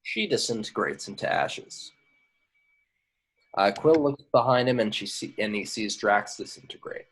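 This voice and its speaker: A man's voice: on the low side, expressive, unhurried, slightly rough, low-quality recording. A man reading screenplay stage directions aloud at an informal table read, occasionally stumbling over words. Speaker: action description reader.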